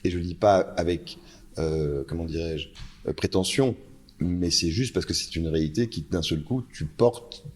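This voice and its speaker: A man's voice: low-pitched, moderate pace, husky. A man, a brand founder, speaking as a guest on a panel and often repeating words while he searches for them. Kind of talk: panel conversation. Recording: live event with a panel.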